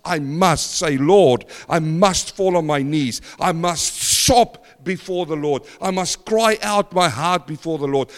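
A man preaching in a church, his voice carrying a long reverb through a large hall. He talks loud and emphatic, then drops to hushed lines. His pitch sits somewhat high for a man. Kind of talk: sermon.

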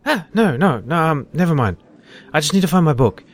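A man's voice: a little high, lively intonation, fairly quick. A man playing an erratic, creepy science recruit in an audio drama, jittery and stammering as he repeats himself. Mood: frantic, flustered.

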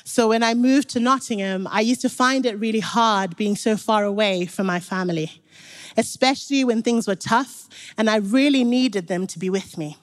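A woman's voice: a little high, normal speed. A young woman from Zimbabwe giving an earnest, encouraging sermon, speaking directly to the congregation. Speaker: preacher.

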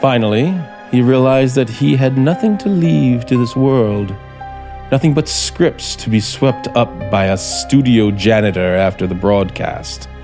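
Actor as slow, menacing radio-drama gunman. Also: mid-range voice, lightly acted. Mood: bitter, brooding. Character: embittered, desperate male radio scriptwriter holding a gun. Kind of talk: 1950s radio suspense acting. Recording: 1950s radio broadcast, music behind.

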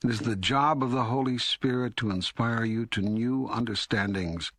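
Husky, solemn God. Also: male voice, mid-range voice, fairly bright tone, no room sound, clean studio sound. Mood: patient, instructive. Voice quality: husky, raspy. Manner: deliberate, solemn, emphatic. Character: God, calm authoritative male teacher. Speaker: audiobook narrator. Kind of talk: scripted audiobook dialogue reading.

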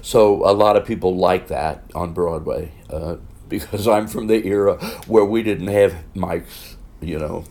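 An older man, a veteran musical performer, telling stories in an interview with a loud, animated way of talking. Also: low-pitched, measured pace. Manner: animated storyteller, exclaims. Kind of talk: conversational interview.

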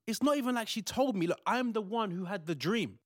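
An earnest young man played by an actor in an audio play. His voice is fairly high for a man, insistent in delivery, and very dry and close. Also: expressive, brisk, no room sound. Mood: frustrated. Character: earnest, frustrated young expectant father Joseph. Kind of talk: audio drama acting.

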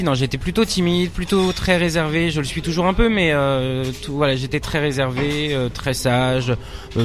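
A young man from France who acts and directs on stage talks through his answers in an interview. He stops often, fills gaps with hesitations and restarts his sentences. His voice sits at an ordinary middle pitch for a man. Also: a little hiss or hum. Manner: hesitant, many fillers and restarts.

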